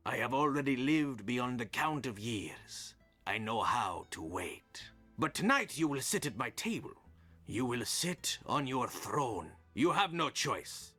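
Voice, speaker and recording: An actor playing an imperious, impatient ancient ruler in theatrical dialogue. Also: male voice, mid-range voice, sharp tone, exaggerated voice.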